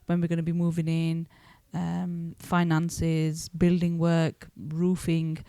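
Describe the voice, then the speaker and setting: A woman in her thirties, a guest on a family podcast, talking in a measured, thoughtful way. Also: on the low side, monotone, mellow, no echo, clean studio sound. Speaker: podcast guest, older sister. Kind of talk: casual sibling chat.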